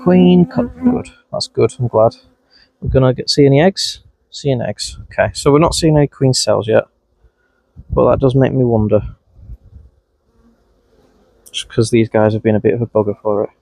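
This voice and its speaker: A man's voice: mid-range voice, lively intonation, moderate pace, creaky, darkish tone, dry recording, poor audio. Chatty Scouse-Wigan beekeeper man. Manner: chatty, talks to his bees, voice jumps up when startled. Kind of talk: hands-on vlog narration. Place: outdoors at beehives in a bee suit. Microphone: mic clipped close, bees crawling on it.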